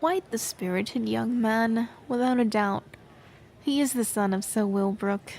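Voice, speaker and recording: An actress playing a reclusive queen who speaks in a measured, regal way. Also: a little high, creaky, a little mellow, a little hiss or hum. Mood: musing. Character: reclusive young queen, guarded and weary. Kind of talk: audio drama acting.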